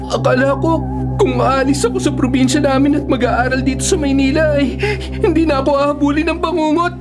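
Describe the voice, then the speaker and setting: An actor playing an anxious yet cocky young college student from the province, with a Filipino accent, in melodramatic radio drama style. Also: male voice, very high-pitched, brisk. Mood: frightened, troubled. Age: young adult. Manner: expressive, melodramatic thinking aloud. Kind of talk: Filipino radio drama acting with spoken thoughts. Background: music bed.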